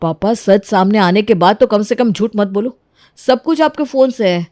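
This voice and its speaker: A woman's voice: medium pitch, normal speed, mellow, dry recording, natural voice. Woman playing a stern, suspicious young husband, dry sound. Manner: scolding, questioning. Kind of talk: dramatised moral story.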